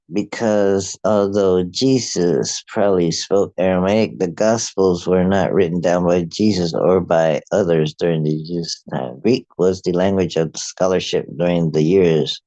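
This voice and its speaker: A man with an Afrikaans accent, a native Afrikaans speaker, reading a prepared commentary aloud in a measured, halting way. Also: deep voice, mellow, dry recording. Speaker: video host.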